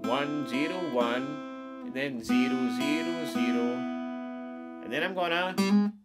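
A man in his forties, a little stuffed up, talks through a guitar lesson in an easy, friendly way, counting frets and strings as he goes. Loud acoustic guitar rings behind his voice. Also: on the high side, takes it slowly. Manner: friendly, explains step by step with counts. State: stuffed-up nose. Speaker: guitar teacher. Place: small wood-paneled room at home.

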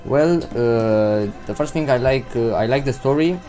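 A man's voice: mid-range voice, unhurried, warm tone. Teen boy from Romania, non-native English, hesitant casual vlog host, desk mic at home, faint music bed. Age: teens. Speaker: channel host answering viewer questions. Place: indoors at home, seated in gaming chair. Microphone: desk microphone.

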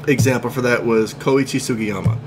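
A man hosting a podcast, telling stories in an animated, joking way. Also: mid-range voice, a little fast, music in the background. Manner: animated, jokey storyteller. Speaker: podcast host. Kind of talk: casual chat.

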